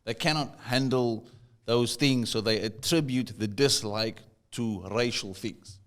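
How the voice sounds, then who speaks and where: A man's voice: medium pitch, unhurried. A South African man co-hosting a casual conversation, telling a story and often repeating words as he starts a thought. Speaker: podcast co-host.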